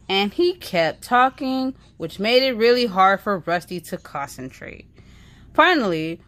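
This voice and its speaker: A woman's voice: lowish voice, fairly bright tone. A woman reading a children's story aloud in a storytelling style. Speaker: reader of a children's book.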